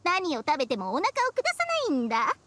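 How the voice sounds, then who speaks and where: Haughty, high-pitched snake spirit. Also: female voice, very animated, quick pace, sharp tone, dry recording, heavily acted. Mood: boastful. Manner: blunt, haughty, scolding. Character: proud, snippy ancient female talking snake spirit. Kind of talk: anime game voice acting. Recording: studio voice over background music.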